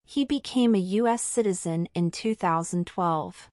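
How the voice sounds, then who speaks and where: A woman's voice: fairly low pitch, a little slow, darkish tone, no room sound, clean studio sound, lightly acted. A woman playing a polite married citizenship applicant in a scripted practice interview, answering formally and carefully in full sentences. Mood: polite, composed.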